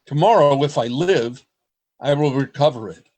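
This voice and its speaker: A man's voice: medium pitch, darkish tone, close to an everyday voice. An actor playing a sensitive, desperate man in a radio play, narrating his last journal with earnest melodrama. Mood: grim, urgent. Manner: earnest, melodramatic, deliberate delivery.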